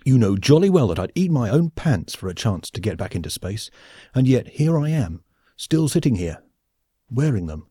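A male audiobook reader voicing an eager, earnest young male space officer who speaks in an excitable, old-fashioned way, in a storybook reading. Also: medium pitch, moderate pace, husky, no echo, studio quality. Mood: indignant.

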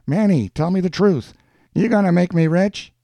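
A gruff, lovesick beer drinker from an audiobook, played by a man reading aloud. He talks in blunt, defensive street language with lively swings of pitch. The recording is dry and mellow. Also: unhurried, dry recording. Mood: weary banter.

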